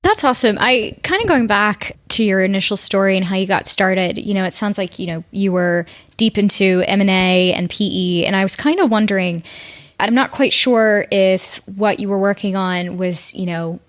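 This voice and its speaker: A woman's voice: mid-range voice, moderate pace. A woman hosting a business podcast, upbeat, laughing easily and asking loose, rambling questions. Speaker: podcast host. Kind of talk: podcast intro and interview.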